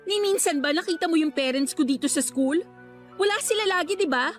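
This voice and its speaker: A very high, youthful schoolgirl voice from an actress in a radio drama. She is earnest and wounded, defending herself with emotional, pleading reproach. Music runs softly behind her. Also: fairly quick. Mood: hurt. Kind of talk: Tagalog radio drama acting.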